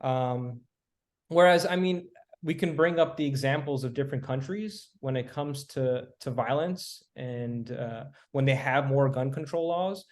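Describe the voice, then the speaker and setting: A man from Miami who grew up there, a podcast guest laying out his views in a measured, reasoned way. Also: mid-range voice. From Miami, Florida, US. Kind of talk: casual podcast discussion.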